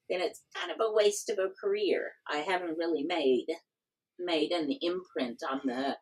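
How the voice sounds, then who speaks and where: A woman in her fifties talks with a low, somewhat husky voice. She is a professor and company founder, and she tells stories in a lively, animated way during a podcast interview. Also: poor audio.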